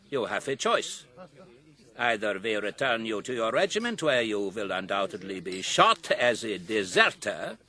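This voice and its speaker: An actor playing a wily, bullying Prussian recruiting captain with a German accent and gravelly voice in a radio drama. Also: male voice, medium pitch, fairly bright tone.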